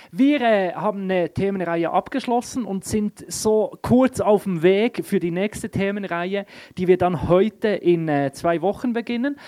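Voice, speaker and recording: A man preaches in a lively, chatty way and keeps turning to his congregation. His voice sits high for a man. The sound is close and dry, with little room around it. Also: no room sound. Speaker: pastor. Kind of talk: sermon with announcements.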